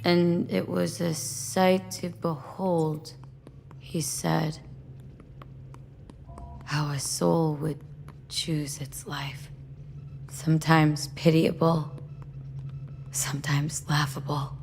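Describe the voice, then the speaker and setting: A weary female mercenary in a game, with a deep, creaky voice that often sinks to a breathy murmur. She speaks extremely slowly and haltingly, with a sardonic edge. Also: level tone, a little mellow, a little hiss or hum, full character voice. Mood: weary, subdued. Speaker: patient under testing.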